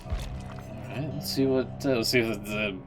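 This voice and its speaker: A man's voice: medium pitch, normal speed. A man giving casual, slangy live-stream reaction commentary, with music behind him. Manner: informal, slangy.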